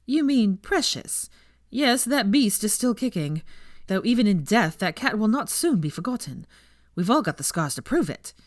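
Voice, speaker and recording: A woman's voice: mid-range voice, very animated, normal speed, no echo, studio quality, voice pushed up, close to an everyday voice. A woman voicing a drily amused, tipsy young witch with a mock-solemn drawl in a storybook reading. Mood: wry.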